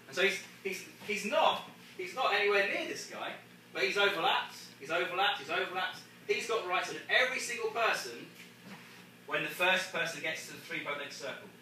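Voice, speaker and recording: A man's voice: sits high, lively intonation, brisk, bright, forceful tone, far from the mic. A man coaching a group of sailors through a race debrief, explaining casually with many restarts and laughs. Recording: echoey room, from afar, faint noise.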